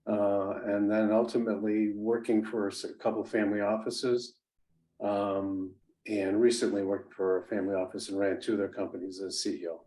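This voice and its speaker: A man in his sixties whose first language is Italian, telling his life story as an interview guest in a halting way, with many restarts and fillers. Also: on the low side, flat delivery, warm tone, poor audio. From United States. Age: 60s. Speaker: interview guest, business executive. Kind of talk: conversational storytelling.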